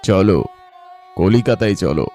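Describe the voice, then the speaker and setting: Man acting a lively village man in a cartoon. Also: sits low, a little slow. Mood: plain urging. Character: male villager speaking casually in a cartoon story. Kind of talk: cartoon character dialogue. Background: instrumental music.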